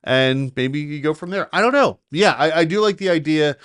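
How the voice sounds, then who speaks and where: A man's voice: on the high side, lively intonation, normal speed, fairly bright tone, clear, resonant tone, dry recording, clean studio sound. A man co-hosting a casual business podcast, often stammering and restarting as he talks. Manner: stammering restarts, reads listener posts aloud. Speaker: podcast co-host.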